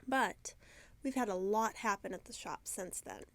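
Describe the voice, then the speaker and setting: A woman with a croaky, stuffed-up voice hosts her own podcast from inside her yarn shop. She chats alone to the camera in a loose, rambling way, pokes fun at herself, and sometimes loses her thread. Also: medium pitch, moderate pace, no echo. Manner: chatty and rambling, self-deprecating, loses her train of thought. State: congested, croaky from sinus trouble. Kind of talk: casual video podcast chat. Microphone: camera mic, talking alone to camera.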